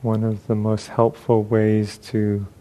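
A man leading a guided meditation as a teacher, speaking gently and unhurriedly with long pauses. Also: lowish voice, monotone.

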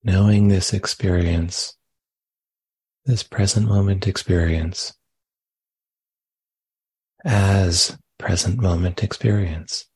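A man guides a meditation in a deep, creaky voice, recorded dry and close. He speaks gently and very slowly, offering short phrases and leaving space between them. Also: steady tone, dry recording. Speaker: meditation teacher. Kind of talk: guided meditation, spoken live.

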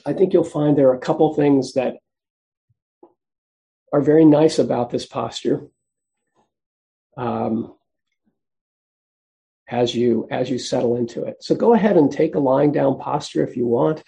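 A soft-spoken man teaches meditation, pausing often as he gently explains each posture. His low-energy voice is dark and mellow, with a gravelly edge at the bottom of his range. He talks at an easy, patient pace. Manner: gentle, unhurried, with frequent pauses and fillers. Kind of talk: guided meditation instruction.

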